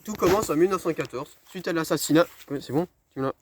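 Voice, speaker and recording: Young man lecturing crudely, fairly high voice. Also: fast talker. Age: teens or young adult. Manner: mock-pedagogical, crude, swearing. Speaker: explainer in a school history sketch. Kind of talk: mock-educational comedy. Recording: homemade video with faint noise.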